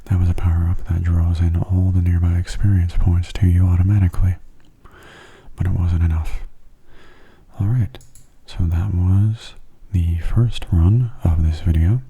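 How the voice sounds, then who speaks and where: Very soft, husky low-pitched man. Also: fairly even intonation, muffled recording. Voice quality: soft, breathy, often near a whisper. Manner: gentle, unhurried, explanatory. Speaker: video game commentator. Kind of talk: soft-spoken ASMR gameplay commentary.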